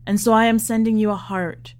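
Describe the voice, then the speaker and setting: A woman voicing a tender, exacting elderly father in a story, speaking earnestly and deliberately. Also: on the high side, steady tone, darkish tone, lightly acted. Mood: tender, proud.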